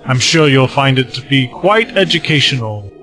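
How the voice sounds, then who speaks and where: A man's voice: medium pitch, expressive, moderate pace, pushed and bright, faint music behind. A man playing a smug, scheming villain who commands monsters, suave and gloating, in an audio drama. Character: smug, scheming male villain, self-styled master of monsters.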